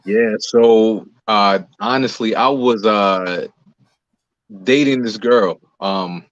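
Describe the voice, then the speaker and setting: A man's voice: lowish voice, slow, no echo. Humble easygoing guy being interviewed, from new jersey, muffled dry sound. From Newark, New Jersey, United States. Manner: humble, easygoing, chuckles readily. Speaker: interview guest, singer and playwright. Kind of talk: casual interview chat.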